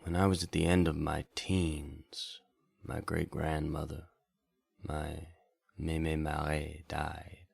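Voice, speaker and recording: Man playing a deep gravelly wandering bard. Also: completely flat delivery, slow pace, dry recording, full character voice. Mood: wistful, reflective. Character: wandering bard radio host from a bayou, reflective, nostalgic storyteller. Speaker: radio drama host and storyteller. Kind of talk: slow intimate late-night radio storytelling.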